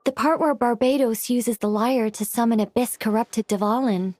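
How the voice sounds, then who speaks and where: A woman playing a composed, cautious female angel mage who explains things in a measured way in a video game. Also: fairly high pitch, steady tone, darkish tone, lightly acted. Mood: calm, explanatory. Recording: music behind the voice.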